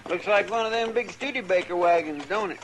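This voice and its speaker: A man's voice: high-pitched, brisk, a bit bright. A man playing a folksy, fretful cowboy sidekick with a Western American drawl and whiny, rustic speech, in an old-time radio western. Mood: curious, folksy. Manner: rustic, ungrammatical, whiny grumbling. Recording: old radio recording with faint noise.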